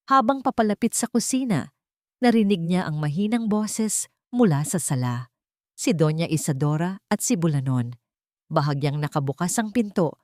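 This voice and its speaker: A woman's voice: on the low side, dark tone, no echo, studio quality. A woman narrator reading a scripted dramatic story.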